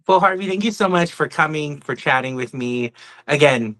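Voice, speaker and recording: Bright-voiced male podcast host. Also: a little high, expressive, moderate pace. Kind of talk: enthusiastic interview chat.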